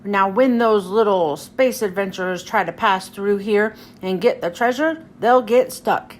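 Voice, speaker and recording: A woman's voice: mid-range voice, measured pace, voice pushed down, natural voice. A woman voicing a blustering, grumbling male space pirate villain from a children's storybook. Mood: gloating. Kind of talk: children's storybook read-aloud.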